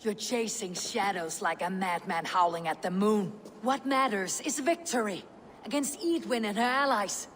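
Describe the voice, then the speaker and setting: An actress playing a resolute Viking warrior, blunt and commanding, in a dramatic game scene. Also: female voice, medium pitch, moderate pace, fairly bright tone, a little hiss or hum, close to an everyday voice. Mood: reproachful. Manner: commanding, plain-spoken. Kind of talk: dramatic video game acting.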